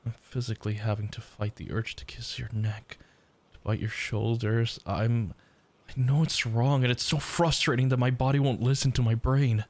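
A man voicing a desperate, lovesick, self-loathing young yandere in an intimate ASMR roleplay, halting and stammering. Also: mid-range voice, fairly even intonation, a little mellow, close to an everyday voice. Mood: ashamed, yearning. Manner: halting, stammering, pleading, breathy sighs.

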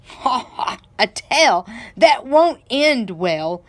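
A woman's voice: fairly high pitch, very animated, extremely slow, voice pushed up, full character voice. A woman voicing a boastful, mocking male donkey in a children's storybook, with a teasing, haughty delivery.

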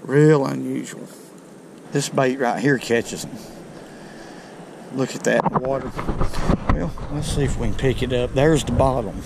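A middle-aged man, chatting to viewers in a folksy, excited way while fishing from a riverbank, recorded on a chest-mounted camera outdoors. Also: medium pitch, measured pace, gravelly, long pauses, background noise. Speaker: fishing video host. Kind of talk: casual outdoor commentary. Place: outdoors on a rocky riverbank below a dam. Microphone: chest-mounted action camera.

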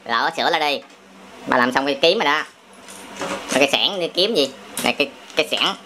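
A man's voice: a little high, animated, quick pace. A man with a southern Vietnamese accent chats casually and playfully while busy with toys, teasing and often repeating short phrases. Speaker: father playing along on a family toy channel. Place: indoor room at home, by a table of toy bricks. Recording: noisy home camera audio, clicking plastic bricks.

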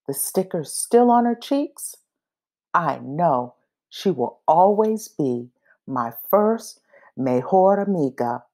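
A woman reads a children's story aloud in a fairly low voice. She takes it very slowly, pausing between phrases. Her delivery is expressive, made for young listeners. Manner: expressive, acts out dialogue and pauses between phrases. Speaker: storybook reader. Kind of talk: children's picture book read-aloud.

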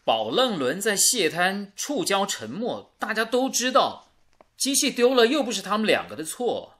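A fussing, earnest young married man in a radio drama. His voice is forceful and fairly high with animated swings, and he scolds kindly. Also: moderate pace, exaggerated voice. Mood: concerned. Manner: earnest, gently chiding. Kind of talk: Mandarin radio drama acting.

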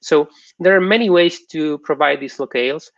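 A young man, a software developer, giving an informal technical presentation online in a halting, explanatory way. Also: on the high side, gravelly, dry recording. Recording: dull, lo-fi online stream audio.

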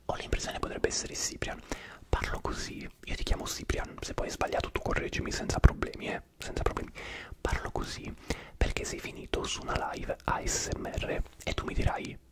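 Chatty man whispering an ASMR stream. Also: normal speed. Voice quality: whispering throughout. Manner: chatty, rambling, talks to viewers by name. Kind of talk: whispered ASMR live chat.

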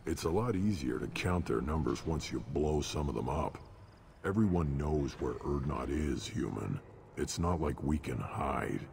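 An actor playing a gruff, blunt reptilian alien scout commander in a video game. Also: male voice, extremely low pitch, lively intonation, unhurried, a little mellow, full character voice. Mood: blunt, hardened. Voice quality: gravelly. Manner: blunt, curt. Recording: hall reverb, faint ambient noise.

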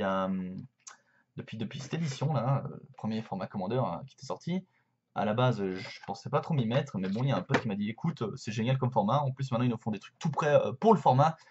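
A young man in his twenties talks casually to his webcam, stopping often for fillers and restarts. His voice is a little husky and sits at an ordinary pitch, and the lo-fi webcam audio sounds dull and muffled. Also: a little mellow. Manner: chatty, lots of hesitations and fillers. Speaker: YouTuber explaining a card game. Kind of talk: casual explainer vlog to camera. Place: bedroom with shelves, indoors.

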